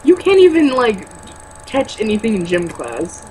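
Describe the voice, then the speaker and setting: A woman's voice: a little high, very animated, unhurried, mellow. Sarcastic young woman, noisy amateur recording. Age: young adult. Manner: sarcastic, exasperated, foul-mouthed. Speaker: co-host reading a book aloud with mocking commentary. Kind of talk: casual read-aloud with exasperated commentary.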